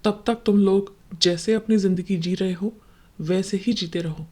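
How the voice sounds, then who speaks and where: A solemn village holy man, voiced by a woman, in a horror cartoon story. He speaks deliberately and gravely, like an elder counselling the young. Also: medium pitch, steady tone, darkish tone, natural voice.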